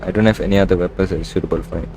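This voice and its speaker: A man's voice: low-pitched, fairly even intonation, very fast, a little mellow, faint music behind. A man streaming a video game, giving casual live commentary as he plays. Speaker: gaming streamer.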